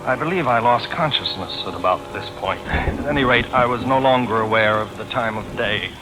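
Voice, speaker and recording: An actor playing a wordy, wryly pompous gentleman sleuth in a 1940s radio drama, with formal, precise diction. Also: medium pitch, fairly quick, over loud music, close to an everyday voice. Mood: wry, deadpan. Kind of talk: 1940s radio acting, noir narration. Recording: noisy vintage 1940s radio recording.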